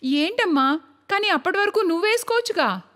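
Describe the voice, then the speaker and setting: A woman voicing a demanding, sharp-tongued, scheming young married daughter in a cartoon moral story. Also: very high voice, expressive, brisk. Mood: sweet.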